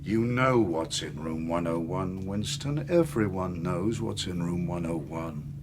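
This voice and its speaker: An actor playing a calm, patronising interrogator in a radio drama, measured and condescending, with mock-kindly menace. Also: male voice, sits low, background noise. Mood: quietly menacing. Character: calm, patronising male interrogator of a totalitarian regime. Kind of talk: naturalistic radio drama acting.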